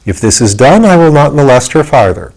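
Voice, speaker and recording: A man's voice: mid-range voice, very animated, a little fast, gravelly, a little mellow, pitched above the performer's own voice, low-quality recording. A man voicing a commanding, composed good sorceress in a storybook, speaking formally and firmly. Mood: stern.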